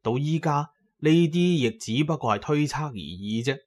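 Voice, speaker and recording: A man's voice: on the high side, expressive, moderate pace, darkish tone. A male audiobook narrator with a Cantonese accent reads a scripted adventure tale. He tells it in the first person, as a storyteller would.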